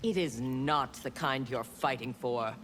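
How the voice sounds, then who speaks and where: An actress playing a haughty armored fighter in a video game, with a dry, scornful delivery. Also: female voice, very deep voice, a little slow, a bit bright, slight background noise.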